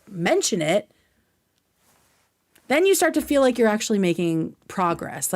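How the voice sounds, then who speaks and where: A woman's voice: medium pitch, a little mellow. Woman doing casual livestream commentary. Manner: opinionated, informal, explains at length. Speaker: livestream host. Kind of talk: casual commentary reacting to clips.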